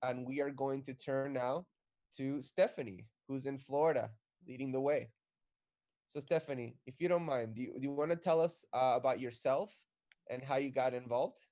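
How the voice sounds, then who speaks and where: A man co-hosting an activist live stream, speaking in an energetic, rallying way and introducing guests. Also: mid-range voice, moderate pace.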